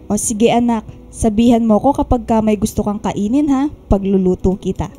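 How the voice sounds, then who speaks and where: Mellow, caring mother, played by an actress. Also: medium pitch, moderate pace, slight background noise, natural voice. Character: caring, nurturing middle-aged mother, fussing over her daughter. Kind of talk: Filipino radio drama acting.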